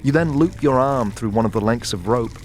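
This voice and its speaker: A man narrating a dramatic second-person story in a measured, atmospheric manner. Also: medium pitch, a little mellow, a little hiss or hum. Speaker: narrator and lead player.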